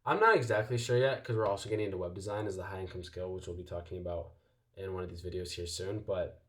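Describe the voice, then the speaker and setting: A young man talks in a tired, low voice. He rambles loosely through a casual faith vlog, full of fillers and restarts, his pitch sitting deep in his chest. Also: fast talker, fairly bright tone. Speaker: YouTuber.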